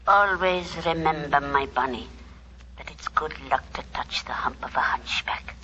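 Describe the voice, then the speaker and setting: A performer playing a folksy, ominous country wise woman, hushed and incantatory. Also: medium pitch, measured pace, highs cut off. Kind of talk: 1940s radio acting. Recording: noisy 1940s radio recording.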